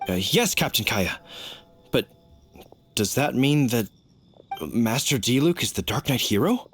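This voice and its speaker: A man voices a dutiful city knight in a video game. He talks in a stiff, official way at a middling pitch, explaining things earnestly over music. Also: expressive, measured pace, lightly acted. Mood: deferential. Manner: formal, explanatory. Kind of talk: video game character acting.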